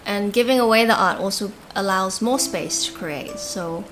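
A woman born in Hong Kong and raised in Australia, answering interview questions in a calm, thoughtful, soft-spoken way. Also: medium pitch, moderate pace, soft background music. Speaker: guest artist.

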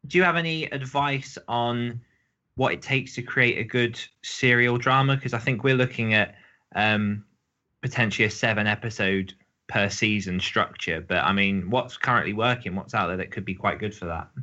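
A man's voice: fairly low pitch, moderate pace, sharp tone. A man co-hosting a podcast in casual conversation, on a slightly dull recording. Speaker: podcast co-host.

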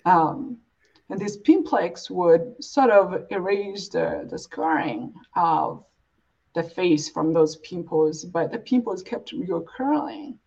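A woman's voice: on the low side, animated, slow pace, mellow, slightly rough. A woman from Africa, speaking earnestly and emphatically as an interview guest, building points in repeated phrases. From East Africa, born and raised in Uganda. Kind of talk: reflective, earnest interview talk. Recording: dull, lo-fi audio.

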